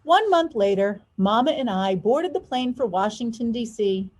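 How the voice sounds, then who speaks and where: A woman narrating a children's storybook expressively, with deliberate, drawn-out emphasis on key words. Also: fairly high pitch, expressive, darkish tone. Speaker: school superintendent reading a children's story aloud.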